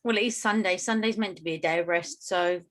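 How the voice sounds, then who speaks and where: A woman's voice: on the low side, fairly quick, fairly bright tone. Tired woman, chatty. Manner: chatty, colloquial, wry. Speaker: podcast co-host. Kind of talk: casual chat.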